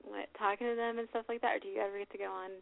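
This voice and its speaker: A woman's voice: mid-range voice, level tone, very fast, creaky. A woman hosting a casual radio interview, bubbly and chatty, laughing often between questions. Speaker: radio show host. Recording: phone-like lo-fi audio.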